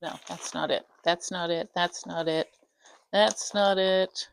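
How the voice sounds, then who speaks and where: Slightly raspy lowish woman. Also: fairly even intonation, slow, slight background noise. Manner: chatty, rambling, self-interrupting. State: slightly raspy from dry throat. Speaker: flosstube host. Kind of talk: casual show-and-tell chat. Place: craft room at home.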